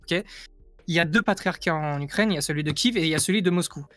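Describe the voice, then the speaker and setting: Male debate guest from France, higher voice, insistent and repetitive, close dry sound over faint music. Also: quick pace, fairly bright tone, dry recording. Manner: insistent, emphatic, repeats himself. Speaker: guest giving an opinion. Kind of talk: casual debate, explaining.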